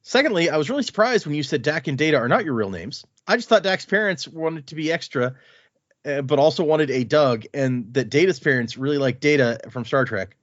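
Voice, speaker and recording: A man hosting a podcast, reading a listener's email aloud and adding his own quick asides between lines. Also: on the high side, dry recording. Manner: reads with lively asides and comments between lines. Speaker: podcast host. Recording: dry, slightly dull lo-fi sound.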